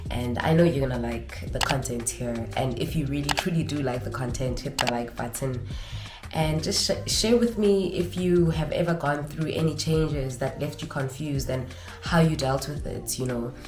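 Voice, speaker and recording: Low-voiced woman, nasal and congested. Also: normal speed, reverberant, music in the background. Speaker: vlogger. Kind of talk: casual advice vlog.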